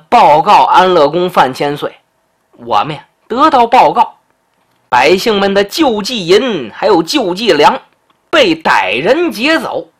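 A man's voice: high voice, fairly bright tone, breathy edge, full character voice. A storyteller voicing a man who works as an official's adviser, curt and arrogant, turning grovelling before his superiors. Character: arrogant male official's adviser, later fawning.

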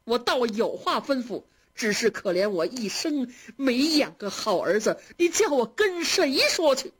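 A woman voicing a grieving elderly matriarch in a storybook reading, sharp with scorn and swinging widely in pitch as she scolds. The voice is slightly husky and raised above the narration. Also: very animated, measured pace. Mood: indignant. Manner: indignant, scornful, tearful.

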